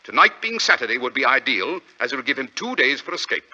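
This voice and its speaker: A man acting a brilliant, self-assured detective in a 1940s radio drama, explaining his deductions in a crisp, theatrical manner. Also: medium pitch, big pitch swings, fast, bright, forceful tone, full character voice. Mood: confident, explanatory. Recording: dull, lo-fi vintage radio recording.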